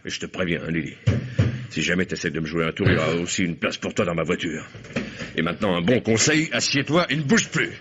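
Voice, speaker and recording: An actor playing a cold, menacing crime boss in a vintage French radio drama, cynical and casually commanding. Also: male voice, low-pitched, fast talker, a bit bright, a little hiss or hum. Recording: dull lo-fi old radio recording.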